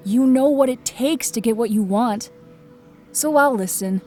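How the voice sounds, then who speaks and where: Vulnerable, proud goddess queen in mythology audio drama, acted by a woman: unhurried, halting, earnest, emotional delivery. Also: on the high side, warm tone, soft background music, close to an everyday voice. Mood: earnest, grateful.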